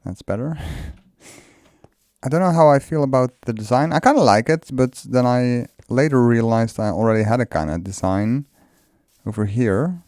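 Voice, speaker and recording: Mellow Dutch-native man musing. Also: medium pitch, normal speed, no room sound, studio quality. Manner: relaxed, musing, laughs often. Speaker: let's play creator. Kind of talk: casual building commentary.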